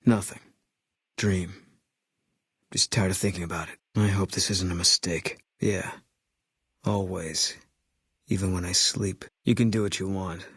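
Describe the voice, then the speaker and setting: Casting: stoic, guilt-ridden military sniper in a video game; deep, raspy, terse, flat delivery. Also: male voice, a little slow, pauses often, dry recording, studio quality, full character voice. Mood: weary, resigned. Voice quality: low, husky. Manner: deadpan, terse, clipped sentences. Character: terse, guilt-haunted ex-army sniper, grimly stoic man. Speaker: video game companion character.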